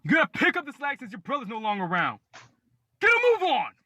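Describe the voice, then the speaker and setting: Actor as a harsh, high-strung bullying man. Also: wildly swooping pitch, quick pace, bright, forceful tone, full character voice. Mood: angry, shouting. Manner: berating, barking. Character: harsh, bullying man barking at a grieving youth. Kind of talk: dramatic play acting.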